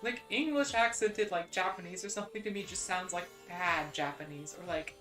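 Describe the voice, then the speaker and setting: A woman's voice: fairly low pitch, normal speed, fairly bright tone, soft background music. A young adult woman with a Midwestern American accent, chatting casually on a stream, rambling with lots of "like"s and restarts, at a desk mic. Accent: General American (Midwestern). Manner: rambling, many "like"s and restarts, self-deprecating. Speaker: VTuber streamer.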